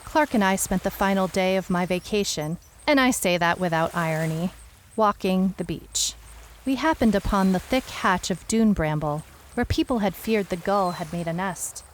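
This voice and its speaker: A woman's voice: medium pitch, normal speed, a little hiss or hum, lightly acted. A woman playing a wry detective who recounts a strange case in a dry, storytelling way, as scripted audio drama narration. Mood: wry, matter-of-fact.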